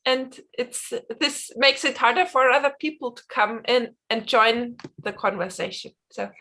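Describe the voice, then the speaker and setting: A woman with a cold and a blocked nose, gently guiding an online conversation group with some hesitant fillers. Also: a little high, a little slow.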